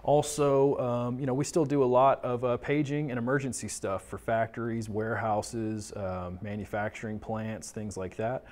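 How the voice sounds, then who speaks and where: A man's voice: mid-range voice, fairly even intonation, moderate pace, few pauses, darkish tone. American man casually explaining audio gear. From Texas, United States. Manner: conversational, explanatory, poses questions and answers them. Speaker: tech talk presenter explaining audio products. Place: small indoor studio office, seated or standing at a table.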